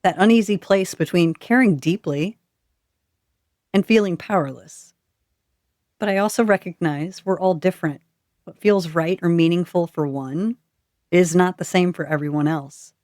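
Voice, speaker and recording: A woman's voice: lowish voice, normal speed, darkish tone, no echo, studio quality. A woman podcast host delivering scripted narration, reading aloud and reflecting on what she reads.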